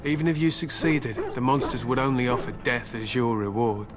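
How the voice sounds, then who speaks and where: A man's voice: medium pitch, moderate pace, heavy background noise, lightly acted. An actor playing a stern, proud male warrior speaking curtly and solemnly in a fantasy video game. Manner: curt, solemn. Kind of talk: dramatic video game acting.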